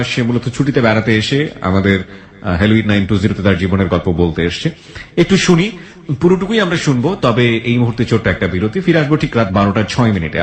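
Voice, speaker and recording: A man with a Bangladeshi accent presenting a radio show to listeners, mixing English phrases into his talk. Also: on the low side. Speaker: radio host. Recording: echoey, reverberant voice.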